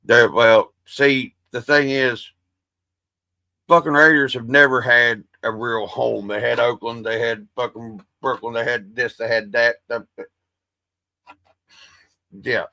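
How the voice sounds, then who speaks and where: A man's voice: mid-range voice, fairly even intonation, slow pace, vocal fry, pauses often, pushed and bright, no room sound, poor audio. A man hosting a livestream, chatting casually with his viewers in a rambling, profane way. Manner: self-described soothing voice. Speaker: livestream host. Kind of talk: casual stream chat.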